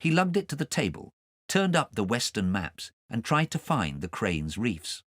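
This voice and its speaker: A man reading a story aloud as an expressive audiobook narrator. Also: medium pitch, normal speed, no echo, clean studio sound.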